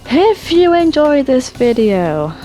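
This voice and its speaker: A woman's voice: sits high, big pitch swings, takes it slowly, mellow, soft background music. A woman chatting casually and rambling about game mods, her voice tiring and her throat sore. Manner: chatty, self-deprecating, trails off into fillers. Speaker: gaming channel host.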